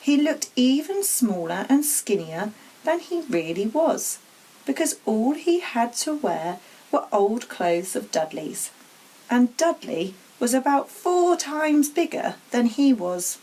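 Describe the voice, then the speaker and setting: A woman reading a children's storybook aloud as an expressive narrator. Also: fairly high pitch, slow pace, breathy edge, poor audio. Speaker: story reader.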